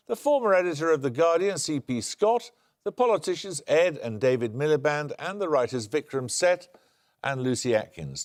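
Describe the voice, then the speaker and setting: An older man hosting a televised quiz, reading questions and introductions in a crisp, brisk manner in a studio. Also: fairly high pitch. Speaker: quiz show host.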